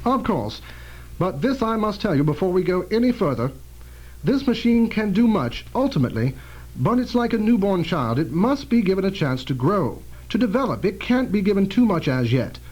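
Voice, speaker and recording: A man playing an earnest, cautious inventor in an amateur radio drama, explaining things with measured emphasis. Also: high voice, very animated, a little mellow, husky, exaggerated voice, low-quality recording. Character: earnest scientist inventor, cautious and proud of machine.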